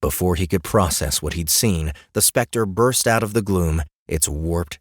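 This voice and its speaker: Male fantasy audiobook narrator, deep register, dramatic phrasing, very dry studio sound. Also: dry recording. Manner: deliberate dramatic phrasing with pauses.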